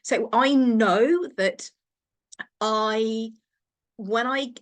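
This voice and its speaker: Soft-spoken English woman searching for words. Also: fairly high pitch, drawn-out words. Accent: English, no strong regional accent. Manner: thoughtful, searches for words, restarts sentences. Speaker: counselling workshop trainer. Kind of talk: live training workshop talk.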